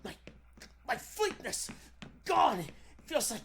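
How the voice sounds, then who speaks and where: Earnest vintage radio superhero acted by a man, melodramatic asides, animated delivery, faint background noise. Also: very high voice, slow pace, creaky, full character voice. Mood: straining, alarmed. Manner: declamatory, melodramatic. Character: bold, earnest male superhero thinking aloud. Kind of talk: 1940s radio serial acting.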